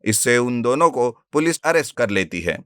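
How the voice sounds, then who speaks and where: A man's voice: mid-range voice, very animated, normal speed, a bit bright, clear, resonant tone, dry recording, clean studio sound. A man narrating a cartoon story in a plain storytelling manner.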